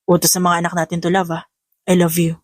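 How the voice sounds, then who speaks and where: A devoted young fiancé and father in an audio love drama, acted by a woman narrator. The voice drops lower and stays soft and affectionate. The recording is dry and close. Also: monotone, fast, dry recording, close to an everyday voice. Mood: tender.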